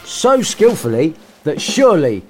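A man's voice: a little high, very animated, measured pace, a little mellow, faint music behind. A man narrating a storybook comically, with irreverent, sarcastic, sweary asides and a theatrical manner. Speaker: story reader and podcast host.

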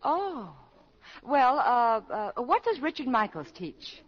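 A woman's voice: fairly high pitch, very animated, takes it slowly, heavily acted. An actress playing a mature, genteel woman new to college, polite and easily flustered, in 1940s radio style. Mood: nervous, hesitant. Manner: polite, hesitant, ladylike, with prim indignation. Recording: dull 1940s radio broadcast.

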